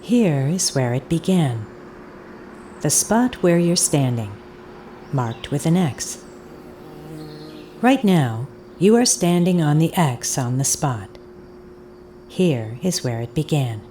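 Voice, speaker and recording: An actress playing a haunted audio tour guide in a fiction monologue, speaking deliberately and haltingly, with insistent repetition. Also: female voice, low voice, lively intonation, mellow, slight background noise. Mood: hushed, eerie. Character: eerie, haunted woman guiding an audio tour. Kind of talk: dramatic second-person audio fiction monologue.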